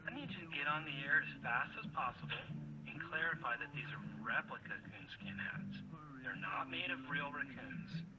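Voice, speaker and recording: A pushy, irate advertiser, played by a man, barking a demanding voicemail from off the mic. Loud music plays behind him, and his voice sits slightly high. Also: normal speed, distant mic, muffled recording, lightly acted. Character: irate male store advertiser. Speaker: advertiser leaving a voice message.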